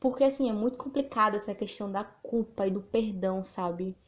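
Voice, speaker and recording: A woman's voice: mid-range voice, a little fast. A woman from Northeast Brazil with a Sergipe accent, hosting a podcast, chatting in an informal, reflective way and reading aloud a text she wrote. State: a little nervous. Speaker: podcast host and writer. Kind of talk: podcast monologue with reading of her own text.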